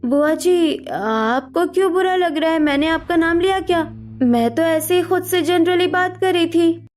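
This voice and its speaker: A woman's voice: very high voice, lively intonation, a little slow, darkish tone, faint music behind, pitched above the performer's own voice. A woman voicing a spiteful, snobbish young daughter-in-law in a cartoon, whiny and sarcastic. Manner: whiny, sarcastic, mixes in English words.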